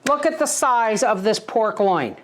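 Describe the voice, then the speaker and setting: Middle-aged woman, a bit high, under a head cold, rambling homestead vlogger. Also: lively intonation, measured pace, slight background noise. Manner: earnest, chatty, rambling. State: head cold, scratchy throat. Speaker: homesteading channel host. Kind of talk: casual talk to camera.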